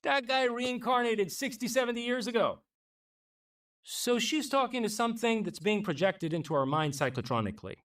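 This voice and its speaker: A man from New York with Persian as his first language, calmly explaining his beliefs as an interview guest. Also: very high-pitched, recorded from a distance. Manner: measured, explanatory, earnest. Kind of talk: earnest interview talk.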